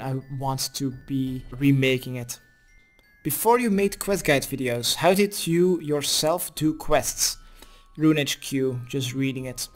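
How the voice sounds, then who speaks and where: A man in his twenties from Belgium, a Dutch speaker, doing a casual question-and-answer voice-over. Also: a little high. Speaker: gaming video creator. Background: music.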